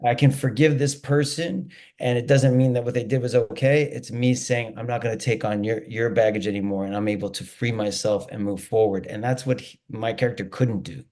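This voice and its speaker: A man's voice: mid-range voice, steady tone, brisk, hardly any pauses, a little mellow. A man, a guest actor, earnestly explaining a scene in an interview. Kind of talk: casual interview.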